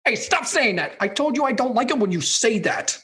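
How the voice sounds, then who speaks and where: An actor plays a stubborn older boomer on a comedy radio show. The voice grumbles and complains with indignation, set against anything modern, at a middling pitch. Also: expressive, a little fast, fairly bright tone, faint music behind, lightly acted. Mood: defensive. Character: grumpy, stubborn, technophobic older movie reviewer, a boomer. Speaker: movie recap co-host. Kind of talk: scripted comedy radio review sketch.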